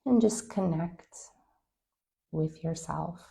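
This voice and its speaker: A woman leading a guided meditation, giving calm, gentle, unhurried instructions with long pauses. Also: on the low side, vocal fry. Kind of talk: guided meditation, soft scripted-sounding instruction.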